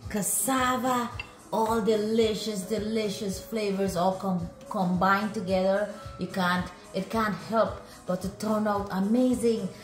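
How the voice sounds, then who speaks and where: A woman's voice: a little high, roomy sound. A middle-aged woman chatting casually as a food vlog host, often repeating herself as she exclaims over the food. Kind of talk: casual cooking vlog chat. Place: home kitchen, indoors.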